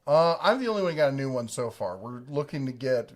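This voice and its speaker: A man's voice: medium pitch, big pitch swings, fast. A man casually chatting on a livestream, reading viewer comments in a laid-back, rambling, jokey way. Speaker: livestream co-host.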